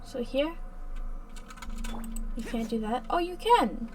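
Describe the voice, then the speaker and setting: Female game streamer: unhurried, casual, hesitant, slightly distant from the mic. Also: high-pitched, big pitch swings, a little mellow. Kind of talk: casual gameplay commentary. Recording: distant mic with faint background noise.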